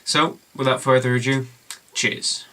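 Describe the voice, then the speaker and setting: A young Englishman reviewing a beer at home in a casual vlog, enthusiastic and rambling with plenty of fillers, his voice slightly hoarse. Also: medium pitch, level tone, measured pace, pushed and bright. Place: home room with bookshelves, indoors.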